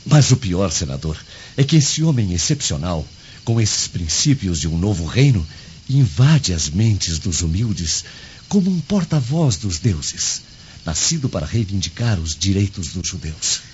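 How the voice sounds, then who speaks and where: An actor in a radio drama playing an earnest Roman guardian who reports in a measured, declamatory way. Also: male voice, deep voice, sing-song, darkish tone. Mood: earnest, intrigued. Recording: dull lo-fi audio with faint noise.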